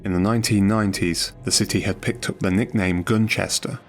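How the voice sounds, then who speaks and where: A man's voice: fairly low pitch, fairly even intonation, a little fast. A man reading scripted documentary narration over music.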